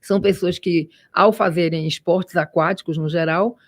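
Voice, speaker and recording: A woman's voice: fairly low pitch, steady tone, moderate pace, no echo. An ear doctor, a woman, explains things for a radio audience. She talks in careful, hesitant restarts, and her voice sounds dry and close to the microphone. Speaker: ear, nose and throat doctor. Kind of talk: expert interview guest.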